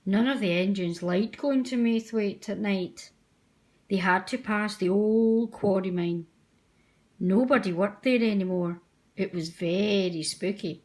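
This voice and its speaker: A woman's voice: medium pitch, darkish tone. A woman narrating a children's storybook aloud. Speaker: storybook reader.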